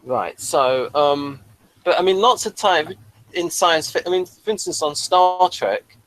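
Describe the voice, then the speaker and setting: A man's voice: fairly high pitch, measured pace, a bit bright, low-quality recording. A man hosting a casual podcast review, thinking aloud in a hesitant way. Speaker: podcast host. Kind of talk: casual chat reviewing a TV episode.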